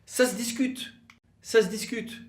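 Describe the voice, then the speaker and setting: A man presenting an explanatory legal video in a conversational way, with rhetorical questions and hesitant pauses. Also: very high-pitched, steady tone. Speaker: legal commentator for a law firm, co-presenter. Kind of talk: explanatory legal video, semi-scripted two-hander. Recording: roomy, echoing sound.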